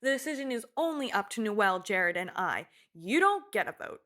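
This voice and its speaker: A woman's voice: medium pitch, sing-song, normal speed, a bit bright. A woman playing a firm, exasperated survivor in a radio play, dismissive and no-nonsense.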